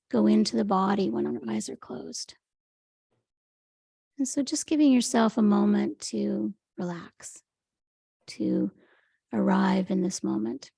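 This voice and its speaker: Soft, creaky woman guiding meditation. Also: medium pitch, dry recording, studio quality. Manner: gentle, unhurried, soothing instruction. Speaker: meditation teacher. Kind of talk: guided meditation, Yoga Nidra.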